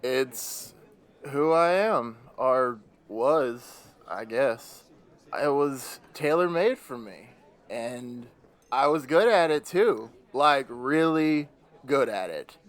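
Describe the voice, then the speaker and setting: An actor playing a mournful, posh old man, retired against his will, in an audio drama, with a deep voice and a halting, heavy delivery. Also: lively intonation. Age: older.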